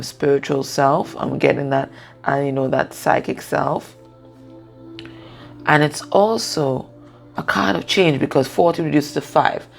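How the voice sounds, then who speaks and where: A woman with a husky voice giving a casual, unscripted card reading, pausing and often checking in with the listener. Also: deep voice. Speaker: tarot and oracle card reader.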